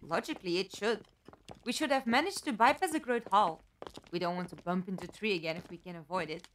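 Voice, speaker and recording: A woman's voice: mid-range voice, brisk, fairly bright tone, slight background noise, close to an everyday voice, rough recording. A woman acting a composed, logical amnesiac captive in a fan-made audio drama, with dry, formal wording. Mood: sceptical.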